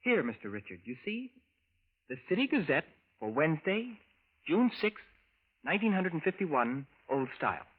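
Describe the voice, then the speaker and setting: Casting: polite, strangely formal visitor, precise and stilted, slightly high voice, tinny old radio drama. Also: male voice, very animated, normal speed, husky. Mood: precise, insistent. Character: oddly formal, polite male stranger from the future. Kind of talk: 1950s radio drama acting. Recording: thin, phone-like vintage radio recording.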